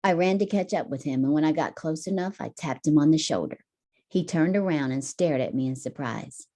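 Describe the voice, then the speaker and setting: A female teacher reads a picture book aloud. Her voice sits a little low and is very mellow, with deliberate, emphatic storytelling meant for children. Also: animated.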